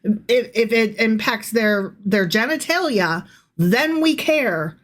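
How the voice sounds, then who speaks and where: A woman's voice: fairly high pitch, takes it slowly. A woman co-hosting a podcast in a casual, irreverent, sardonic style, commenting freely with some swearing. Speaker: podcast co-host. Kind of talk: casual Bible-study chat, reading aloud and commenting.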